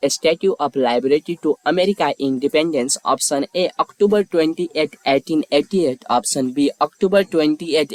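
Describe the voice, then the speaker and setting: A man hosting a quiz, reading scripted questions and lettered options in a measured, formulaic way. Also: on the high side, darkish tone, dry recording, low-quality recording. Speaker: quiz host.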